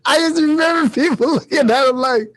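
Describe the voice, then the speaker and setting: A man's voice: very high-pitched, brisk, fairly bright tone. A man, a podcast host, in casual, joking conversation. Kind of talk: casual comedic chat.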